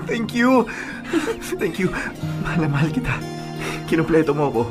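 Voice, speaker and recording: A man's voice: high voice, wildly swooping pitch, a little slow, music in the background, exaggerated voice. A man playing a devoted young boyfriend in a radio drama, earnest and tender, making flowery declarations of love. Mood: overjoyed.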